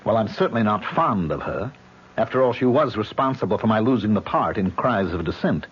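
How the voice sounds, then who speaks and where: A man's voice: lowish voice, animated, fairly quick, slightly rough. An actor in a vintage radio drama playing a haunted man, exasperated and defensive, with sardonic, indignant protests. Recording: muffled old radio recording.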